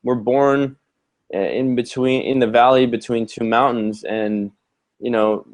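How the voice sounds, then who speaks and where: A man's voice: mid-range voice, steady tone, a little slow, darkish tone. A man from Canada whose first language is German, a podcast guest musing aloud and repeating words as he gathers his thoughts. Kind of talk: conversational podcast interview.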